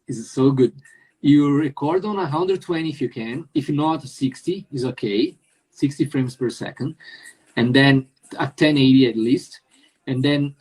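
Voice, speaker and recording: A man hosting a casual live-stream interview, explaining things in a halting way with many restarts and jokes. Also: mid-range voice, mellow, slightly rough, rough recording. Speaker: host.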